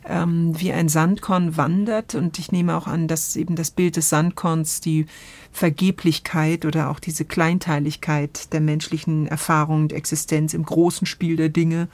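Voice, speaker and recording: A middle-aged woman talks in a reflective, conversational way as a podcast host. Her voice sits fairly low and is recorded dry. She searches for the right word as she builds her thoughts. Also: level tone, normal speed, darkish tone, no room sound. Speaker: podcast host discussing radio plays.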